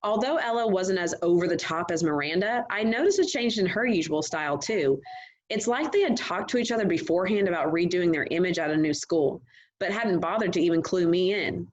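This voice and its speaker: A woman's voice: lowish voice, expressive, a little fast, muffled recording. A woman reading a novel aloud in a casual, conversational way, talking directly to her listeners. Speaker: host reading a book aloud. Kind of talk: read-aloud of a first-person novel, conversational.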